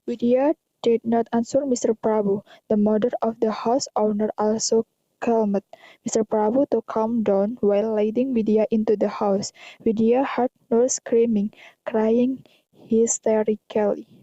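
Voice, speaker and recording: High, muffled young Indonesian woman narrating. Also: steady tone, a little slow, dark tone. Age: young adult. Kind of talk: scripted story narration, read out. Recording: muffled, roomy home recording.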